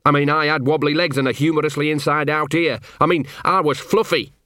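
A man's voice: on the high side, steady tone, brisk, pushed and bright, dry recording, clean studio sound, voice pushed up. A man voicing a small scruffy male street dog who grumbles, feels sorry for himself and wheedles for rewards. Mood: gloomy. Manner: grumbling, self-pitying, coaxing.

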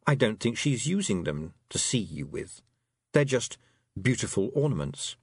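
A man voicing a calm, watchful inner voice. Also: lowish voice, normal speed, breathy edge, no echo, clean studio sound, full character voice. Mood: advising. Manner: calm, observant, advising.

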